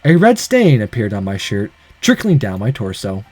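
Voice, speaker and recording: A man's voice: on the low side, wildly swooping pitch, normal speed, a little mellow, no echo. A man reading a visual novel aloud, mixing dramatic narration with casual asides.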